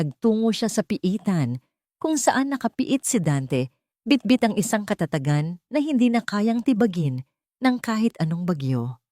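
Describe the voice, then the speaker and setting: A woman's voice: lowish voice, darkish tone, no echo, clean studio sound. A woman with a Filipino accent narrating a dramatic story from a script.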